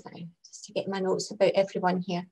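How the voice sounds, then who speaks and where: A woman's voice: fairly low pitch, completely flat delivery, a little fast, mellow, breathy edge. Woman curator presenting at an online launch. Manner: hesitant, with fillers and restarts. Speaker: exhibition curator.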